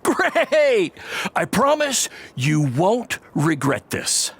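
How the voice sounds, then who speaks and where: A man's voice: high-pitched, big pitch swings, very slow, fairly bright tone, breathy edge, heavily acted. An actor playing a brash, boastful middle-aged wrestling promoter in a video game, with a hammy salesman manner. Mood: boastful, pitching. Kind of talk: video game cutscene acting.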